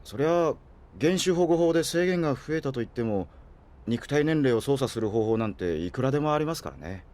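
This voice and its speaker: Man acting a flustered, animated young researcher. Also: medium pitch, fairly quick, natural voice. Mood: puzzled. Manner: hesitant, deferential, easily flustered. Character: flustered, earnest, timid young male junior researcher. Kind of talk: Japanese audio drama acting.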